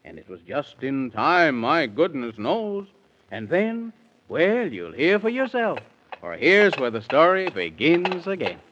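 A man's voice: fairly high pitch, sing-song, a little slow, a bit bright, slight background noise. A man narrating a children's tale in singsong rhyme, theatrical, in 1930s radio style. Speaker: storyteller, narrator. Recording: muffled old 1930s radio recording.

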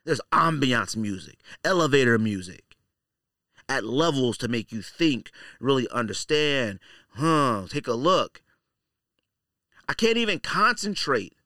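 A man's voice: medium pitch, very animated, a little slow, pushed and bright, dry recording, studio quality. A middle-aged man hosting a casual podcast, giving opinionated, slangy monologue and repeating his points for emphasis. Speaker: podcast host.

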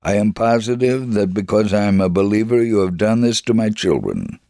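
A man reading a first-person testimony as scripted narration, measured and gravelly, acting out the dialogue as he goes. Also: fairly low pitch, animated, dark tone, no room sound, studio quality. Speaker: story reader.

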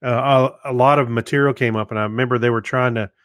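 A man's voice: medium pitch, steady tone, quick pace, a bit bright, dry recording, clean studio sound. A man co-hosting a podcast in casual chat, with loose, hesitant phrasing that tends to trail off. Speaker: podcast co-host.

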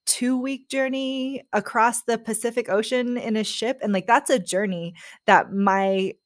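A woman guest on a podcast, casually discussing a book and retelling scenes in an animated way. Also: fairly high pitch, measured pace.

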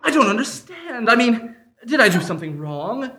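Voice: mid-range voice, big pitch swings, moderate pace, sharp tone. An actor playing a clingy discount-deal service as a boyfriend, with theatrical, pleading sales patter in a radio-style comedy sketch. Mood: hurt, pleading. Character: Groupon, clingy salesman boyfriend turning gleefully villainous. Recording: live, unedited stage performance.

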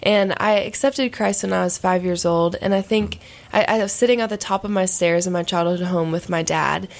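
A woman in her twenties with a raspy voice, a singer telling her story as a guest in a relaxed interview. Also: lowish voice, normal speed. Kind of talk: casual interview.

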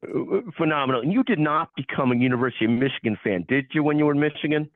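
A man hosting a conversational interview, repeating words in a halting way as he puts questions to guests. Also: a little high, no room sound, phone-quality sound. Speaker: podcast host interviewing guests.

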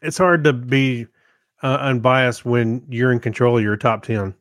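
A man talks casually as a podcast co-host. His mid-range voice moves along hesitantly, with pauses while he hunts for the next word. The recording is dry, without audible room echo. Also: mid-range voice, fairly even intonation. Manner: hesitant, pausing to search for words. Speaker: podcast co-host reviewing TV shows. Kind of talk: casual unscripted chat.

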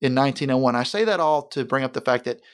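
A man speaks as a pastor in a conversation, in a mid-range voice. He talks in an earnest, deliberate way and often slows down to stress a point. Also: mid-range voice, animated, clean tone. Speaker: senior pastor. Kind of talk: conversational interview.

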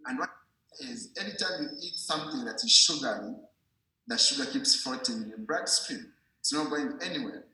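Bright-voiced man explaining, very echoey room. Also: medium pitch, lively intonation, a little fast. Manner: didactic, repeats and checks understanding. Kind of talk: informal medical explanation.

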